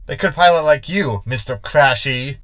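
A man's voice: mid-range voice, lively intonation, normal speed, a bit bright, dry recording, lightly acted. A man playing a fussy, anxious, sarcastic hologram crewmate in a sci-fi sitcom audio drama. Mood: pedantic griping. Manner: sarcastic, complaining.

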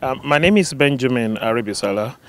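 A middle-aged man with a Nigerian accent explains his work in a measured, patient way, filling pauses with ums. He speaks at a steady mid pitch into a handheld mic outdoors. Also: slight background noise. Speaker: researcher guest. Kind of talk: interview answer. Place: sunny outdoor campus.

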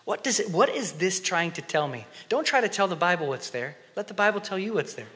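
Man in his thirties preaching from a church stage with a headset mic, bright voice, passionate, drops to whispers, hall reverb. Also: high voice, animated, a little fast. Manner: impassioned, shifts between loud emphasis and hushed whispered prayer. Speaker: conference speaker. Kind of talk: sermon. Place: church stage before an audience.

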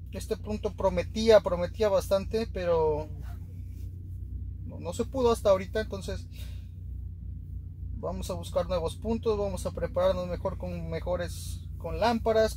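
A young Mexican man with a Mexican accent, chatting casually to his viewers while ice fishing on a frozen lake. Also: sits high. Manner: chatty, addresses viewers directly, easily excited. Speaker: fishing vlogger. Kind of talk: casual outdoor vlog talk to viewers. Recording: noisy outdoor camera audio.

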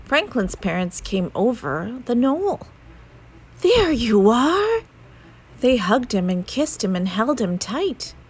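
A woman narrating a children's storybook aloud in a measured, expressive way. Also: medium pitch, slightly rough. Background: soft music.

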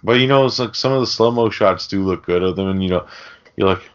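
A man with a fairly low voice hosts a casual film podcast. He rambles through a crude, comic, swear-laden recap. The sound is a little dull and lo-fi. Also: fast talker. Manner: rambling, crude joking delivery with mimicked lines. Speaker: podcast host.

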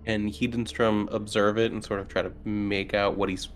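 A man's voice: fairly low pitch, flat delivery, moderate pace, soft background music. A man chatting casually as a player in a tabletop role-playing game.

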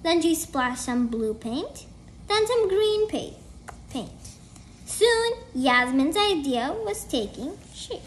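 A woman's voice: high-pitched, sing-song, slow pace, a little hiss or hum. A woman reading a children's storybook aloud in a careful, word-by-word way. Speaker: storybook reader. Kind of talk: children's book read-aloud.

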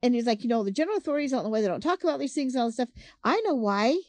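A woman's voice: on the high side, expressive, rapid-fire, darkish tone, no room sound. A woman chatting casually and agreeably on a recorded phone call. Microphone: telephone.

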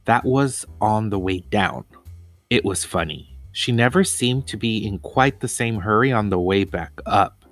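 A man reading a children's story aloud in a deliberate, expressive narration with pauses. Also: on the low side, faint music behind.

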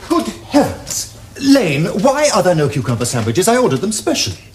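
An actor playing a suave, glibly polite young Victorian gentleman with an upper-class English accent and mannered delivery. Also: high-pitched, huge pitch swings, normal speed. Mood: mock shock. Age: young adult.

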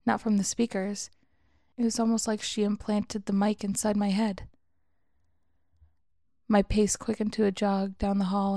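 A woman's voice: mid-range voice, warm tone, no echo, clean studio sound. A woman storyteller reading a scripted first-person story as narration. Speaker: storyteller, author.

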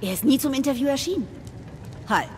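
A woman's voice: high-pitched, animated, brisk, darkish tone, slight background noise. A woman playing a pushy, inquisitive reporter in an audio drama. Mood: insistent. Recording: reverberant hall, faint music.

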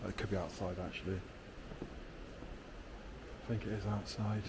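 Low-voiced man muttering through a derelict hall. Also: flat delivery, normal speed. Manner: hushed, muttering to himself. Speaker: urban explorer. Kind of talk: casual exploring commentary. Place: large derelict sports hall, indoors. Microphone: handheld camera on the move. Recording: noisy handheld camera recording.

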